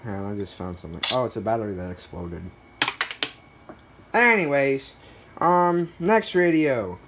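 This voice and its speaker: A man's voice: mid-range voice, animated, moderate pace, crowd noise. A man talking casually and off the cuff as he shows items in a collection, a bit self-deprecating. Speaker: collector showing a weather radio collection. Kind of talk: casual unscripted show-and-tell.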